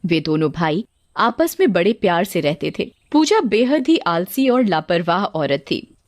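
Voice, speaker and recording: A woman's voice: on the low side, big pitch swings, a little slow, no echo, clean studio sound. A woman reading a scripted story narration for children.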